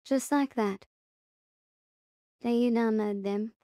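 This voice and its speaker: A woman with a fairly high, soft and mellow voice walks through a software tutorial. She speaks slowly and haltingly, one step at a time, in a casual way. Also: fairly even intonation, dry recording, studio quality. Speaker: tutorial host.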